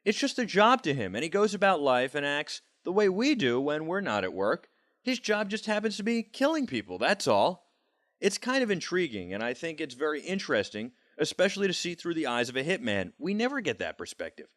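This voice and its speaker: High-pitched, lively American male reporter. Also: brisk, no room sound, clean studio sound. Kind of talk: scripted video report narration.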